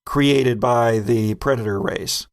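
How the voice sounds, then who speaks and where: Gravelly-voiced man in casual podcast chat. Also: medium pitch, slow pace, no room sound, clean studio sound. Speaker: podcast host and game master.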